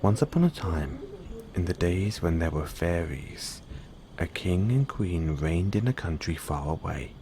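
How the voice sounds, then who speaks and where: A man reading bedtime fairy tales as an audio roleplay, in measured storybook narration. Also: low-pitched, animated, a crowd in the background.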